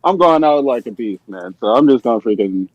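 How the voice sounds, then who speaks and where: A man's voice: mid-range voice, lively intonation, brisk, dry recording, poor audio. Dry-sounding man in slangy casual chat. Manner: slangy, filler-heavy, says "man" often.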